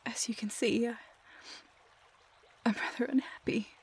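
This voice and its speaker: A woman acts out a heartbroken young woman lost in a storybook forest. Her high voice is slow and full of breath, often sinking toward a whisper. The delivery is halting and emotional, in the manner of an audio roleplay. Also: fairly even intonation, husky. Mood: sorrowful, lost.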